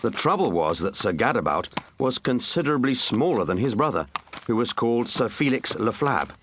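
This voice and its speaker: A man's voice: medium pitch, sing-song, moderate pace, fairly bright tone, slight background noise. A man narrating a comic children's story with dry, wry asides. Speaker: audiobook narrator.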